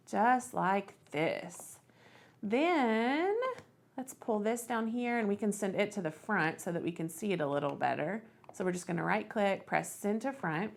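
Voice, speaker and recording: Creaky-voiced woman hosting a craft tutorial. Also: fairly low pitch. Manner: thinks aloud while working. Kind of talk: casual step-by-step screen tutorial.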